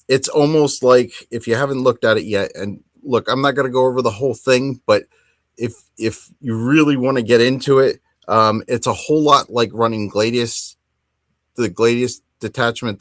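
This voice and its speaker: A middle-aged man hosting a casual hobby podcast, talking enthusiastically in a rambling, halting way. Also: medium pitch, a bit bright, no echo, studio quality. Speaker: podcast host.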